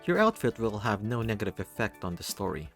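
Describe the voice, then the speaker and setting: Male narrator, low register, expressive first-person story reading with theatrical flair, faint music underneath. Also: fairly quick. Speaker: reader of a visual novel.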